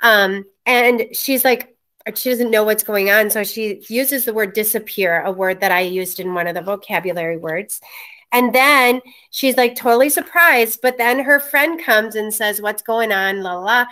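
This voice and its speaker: A woman's voice: medium pitch, moderate pace, bright, forceful tone. A woman school media teacher presenting informally to colleagues on a video call from a small room, through a laptop mic. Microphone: webcam or laptop mic.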